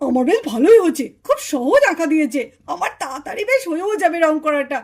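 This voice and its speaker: A woman voicing an excitable, mischievous little child doll, eagerly repeating words in a children's puppet story. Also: high-pitched, quick pace. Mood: playful, mischievous.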